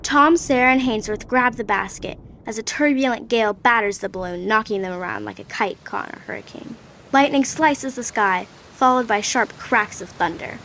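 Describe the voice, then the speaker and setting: A woman giving the scripted narration of a radio play, steady and descriptive. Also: a little high, moderate pace, creaky, slight background noise.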